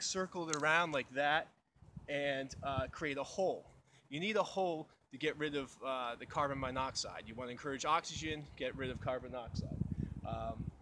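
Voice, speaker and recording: A man's voice: a little high, bright, forceful tone, slight background noise. A young man explaining outdoor survival in a casual, rambling way with many fillers, recorded outdoors in snowy woods on a camera mic a few meters away. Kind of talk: unscripted how-to explanation. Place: outdoors in snowy woods beside a snow cave.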